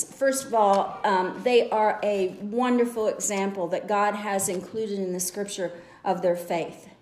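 Woman preaching in a church, slow and earnest, lots of room echo. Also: medium pitch, a little mellow. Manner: earnest, exhorting with repeated phrases. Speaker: lay preacher. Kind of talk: church message. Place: church sanctuary.

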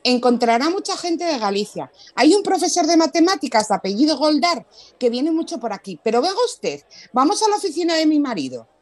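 Woman playing a lively, high-voiced hospitable wife. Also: a little fast. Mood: chatty. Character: chatty, hospitable Andalusian wife of the boss. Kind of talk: radio drama acting.

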